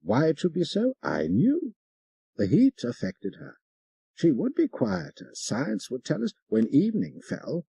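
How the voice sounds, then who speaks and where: A man voicing a fervent French missionary priest telling his tale with emphatic, ironic pauses, as in a dramatic audiobook. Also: on the high side, wildly swooping pitch, vocal fry, mellow, breathy edge, no room sound, pitched above the performer's own voice, exaggerated voice. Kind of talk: dramatic first-person storytelling in an audiobook.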